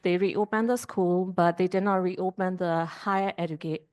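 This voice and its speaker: Woman from Burma, panelist, low-pitched, earnest storyteller. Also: steady tone, moderate pace, a little mellow. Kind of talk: panel discussion answer.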